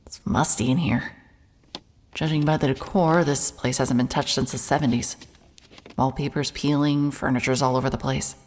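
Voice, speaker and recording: A woman's voice: extremely low pitch, fairly even intonation, normal speed, a little mellow, a little hiss or hum. A woman acting as a hard-hearted, wry and nervy private investigator in a cinematic audio drama, muttering to herself as she works. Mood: curious, muttering. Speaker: lead actor and narrator of an audio drama. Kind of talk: cinematic audio drama, first-person noir narration and case dictation.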